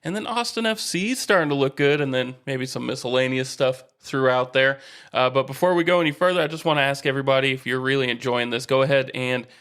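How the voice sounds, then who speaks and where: A man's voice: medium pitch, fast, fairly bright tone, smooth. A man co-hosting a sports podcast, chatting casually in an informal, opinionated way.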